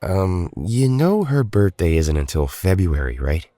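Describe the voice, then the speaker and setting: A man's voice: sits low, very animated, unhurried, mellow, no echo, clean studio sound. A man playing a loyal, reluctant teenage hero in an audio drama, hesitant and defensive in a conversational way. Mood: puzzled, wary.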